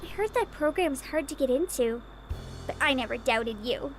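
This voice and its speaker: Teen little sister, anime visual novel: high, bouncy, teasing, animated delivery over music. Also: female voice, moderate pace. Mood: excited, supportive.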